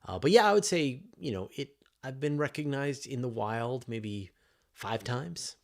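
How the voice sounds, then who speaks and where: A man's voice: mid-range voice, lively intonation, measured pace, creaky. A man hosting a live science question show as a journalist, talking casually and emphatically and often rephrasing mid-thought. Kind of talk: casual livestream Q&A, reading questions and answering off the cuff.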